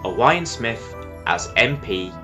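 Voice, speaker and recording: A man's voice: medium pitch, sing-song, slow, music in the background. A man reading scripted closing credits as the announcer of an audio drama.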